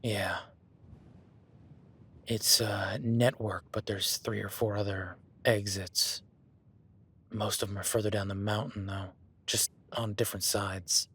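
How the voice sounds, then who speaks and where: A man's voice: lowish voice, steady tone, takes it slowly, pauses often, fairly bright tone, breathy edge, full character voice. A man playing an easygoing, seasoned cowboy rancher in an audio roleplay, talking to the listener in a folksy, plainspoken way. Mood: cautious.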